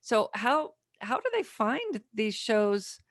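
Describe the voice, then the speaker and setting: A woman hosting a podcast in casual interview chat, speaking informally and a little haltingly. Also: fairly high pitch, very animated, no echo, clean studio sound. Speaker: podcast host.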